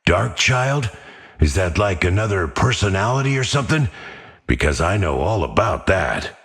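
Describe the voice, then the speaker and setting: An actor voicing a gruff, blunt, grim vigilante in a video game. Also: male voice, low-pitched, sing-song, normal speed, a bit bright, heavily acted. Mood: gruff, wry.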